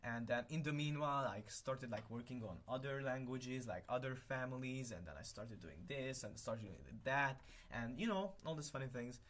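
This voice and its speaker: A man's voice: medium pitch, expressive, moderate pace, hardly any pauses, fairly bright tone. A young man whose first language is Hungarian, chatting casually on a live stream while he works, rambling and correcting himself often with many fillers. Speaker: livestreamer.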